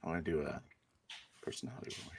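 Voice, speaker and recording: A man's voice: a bass voice, expressive, fast, a little hiss or hum. A man playing a tabletop roleplaying game, casually telling his character's actions in the first person.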